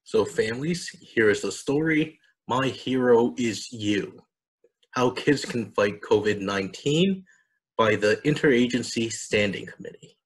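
A man in his thirties reading and presenting for children and families in an explanatory, deliberate way. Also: medium pitch, rough recording. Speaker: storybook reader and presenter. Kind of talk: scripted narration for children and families.

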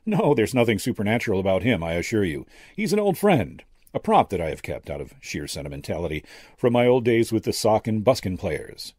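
A man voicing a kindly old medium and former actor, gentle, courtly and theatrical, in an audiobook story. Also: on the low side, big pitch swings, fairly quick, husky, no room sound, clean studio sound. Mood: wistful, proud. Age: older. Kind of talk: character dialogue in an audiobook story.

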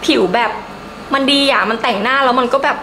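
A woman's voice: fairly high pitch, steady tone, fairly quick, background noise. A young woman gives a casual, enthusiastic review to camera in a chatty, emphatic way. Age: young adult. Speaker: beauty vlogger.

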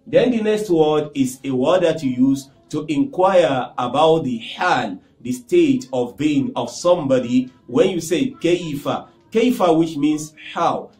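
A middle-aged Nigerian man with a Nigerian accent teaching Arabic phrases to camera, repeating each phrase in a lively way. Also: on the high side, roomy sound. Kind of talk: language lesson to camera. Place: small indoor studio with whiteboard.

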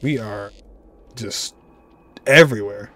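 A man's voice: lowish voice, slow. A young man hosting a game reaction video, talking casually and exclaiming at what he sees, from a desk in an indoor room. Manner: animated, exclaiming and laughing at the screen. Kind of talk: casual live reaction commentary.

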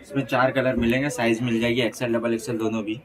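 A man's voice: medium pitch, level tone, fast talker, a crowd in the background, rough recording. A man with an Indian accent, a clothing seller giving brief sales patter in answer to questions. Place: small clothing shop, indoors.

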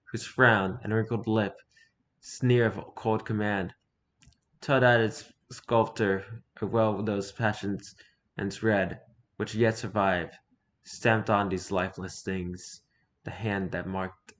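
Man as solemn, slow traveler reciting grim verse. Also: fairly low pitch, a bit bright. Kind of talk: dramatic poetry recitation.

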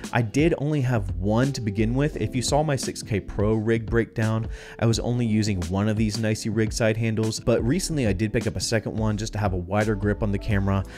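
Congested nasal young man with low voice. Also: darkish tone. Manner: casual, chatty gear talk. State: congested, stuffed up. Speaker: filmmaking channel host. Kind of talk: gear review vlog. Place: dim home studio. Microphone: studio mic on boom arm.

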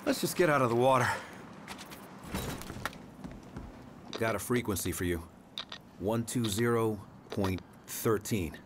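A man's voice: on the low side, animated, normal speed, background noise, lightly acted. A man playing an earnest, streetwise police detective in a video game, speaking casually. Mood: matter-of-fact. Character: earnest, quick-thinking male police detective. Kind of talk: naturalistic video game acting.

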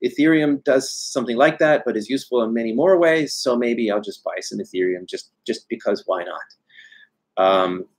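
Guy in his 40s, bit husky, talking candid into a webcam at home, middle pitch, rambly fillers. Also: normal speed. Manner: candid, chatty, frequent "uh" and restarts. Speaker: newsletter host answering viewer questions. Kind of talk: live Q&A, casual talk to camera. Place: home study with bookshelves, indoors. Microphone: webcam video call framing.

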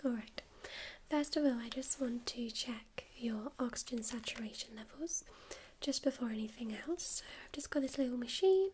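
A woman whispering softly in a gentle, reassuring ASMR medical roleplay, calmly talking a listener through a check-up step by step. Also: sits high, a little hiss or hum. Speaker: ASMR creator playing a first-aid medic.

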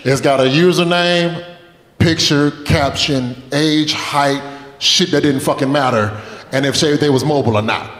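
A man's voice: on the high side, measured pace, a bit bright. A man in his late twenties doing a stand-up comedy set on stage, telling loose, profane stories and talking with the crowd. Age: 20s. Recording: echoey club with long reverb. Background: audience laughter.

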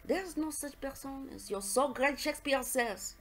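A woman's voice: high-pitched, moderate pace, faint music behind, voice pushed up, lightly acted. A woman voicing a confident, middle-aged male detective, precise and affectionate, in an audiobook story. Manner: precise, self-satisfied, foreign turns of phrase.

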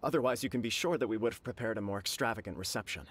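This bashful young clan head is earnest and polite, as a man voices him. His voice sits fairly low, with a faint rumble behind it. It comes from a video game dub. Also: very fast, fairly bright tone, lightly acted. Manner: polite, modest, a little shy.

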